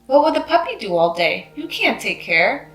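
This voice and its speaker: Lady reading as exasperated scolding adult in a kids' book, very animated, echoey. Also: mid-range voice, moderate pace, faint music behind. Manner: stern, exasperated questioning. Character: scolding, reasonable-sounding grown-ups confronting Cindy. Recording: roomy, echoing sound.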